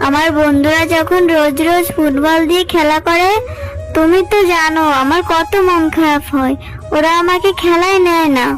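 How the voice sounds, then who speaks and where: A woman's voice: very high voice, fairly even intonation, moderate pace, faint music behind, low-quality recording. A woman voicing a sweet, pleading little fox girl in a cartoon, with a childlike, coaxing manner. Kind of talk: cartoon dubbing.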